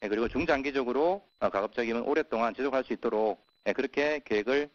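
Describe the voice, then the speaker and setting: A man presenting as a company executive on an earnings call, pausing often with filler sounds. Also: medium pitch. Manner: halting, many filler sounds. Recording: muffled conference call audio.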